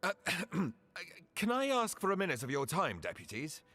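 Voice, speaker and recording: An actor playing a courteous, self-deprecating imperial mage envoy in a fantasy game, polite and wry with some hesitation. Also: male voice, fairly high pitch, wildly swooping pitch, normal speed, a bit bright, soft background music, full character voice. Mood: politely wry. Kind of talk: scripted fantasy game cutscene acting.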